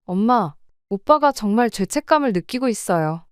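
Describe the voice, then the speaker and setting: A woman voicing a caring adult daughter who speaks warmly and encouragingly to her mother. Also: medium pitch, expressive, moderate pace, clean tone, no echo, studio quality, lightly acted. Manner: warm, encouraging.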